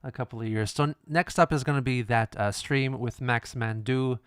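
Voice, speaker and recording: A man from Lithuania, hosting a casual livestream chat into a studio microphone, relaxed and chuckling, with halting restarts. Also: mid-range voice, smooth, no room sound. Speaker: streamer host. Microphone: studio dynamic microphone.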